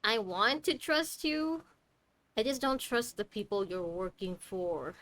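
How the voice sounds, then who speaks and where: A woman's voice: medium pitch, measured pace, a bit bright. A woman streamer casually chatting and joking as she plays a game, talking back to the characters. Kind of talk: casual live game commentary.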